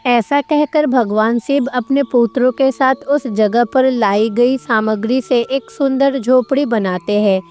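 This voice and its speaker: A woman's voice: a little high, a little slow, hardly any pauses, darkish tone, faint music behind. A woman narrating a scripted devotional story as a storyteller.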